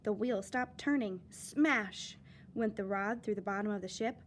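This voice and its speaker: A woman teacher reading a children's picture book aloud, with lively, expressive storytelling and dramatic emphasis. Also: mid-range voice, a little slow, a little hiss or hum.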